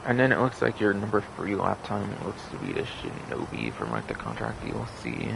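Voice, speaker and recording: A man with a gravelly voice giving rambling, repetitive game commentary as a livestreamer. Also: fairly low pitch, big pitch swings, brisk, noisy background. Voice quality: gravelly, creaky. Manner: repetitive, circling back over phrases.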